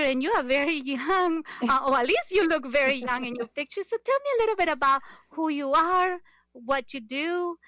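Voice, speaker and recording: Female talk show host, middle-aged, slightly high voice, very dry recording. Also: a bit bright, no echo. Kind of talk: radio interview hosting.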